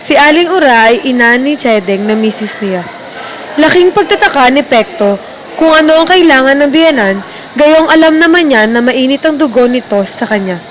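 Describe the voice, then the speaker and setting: Filipino lady narrator, high voice, reading radio drama script, slight noise. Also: expressive, moderate pace, rough recording. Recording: faint background noise.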